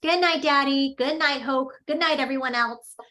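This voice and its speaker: Lady playing little kid in a drama read, curious and impatient, pitched up. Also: normal speed, bright, forceful tone. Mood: sweet. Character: curious, impatient young child.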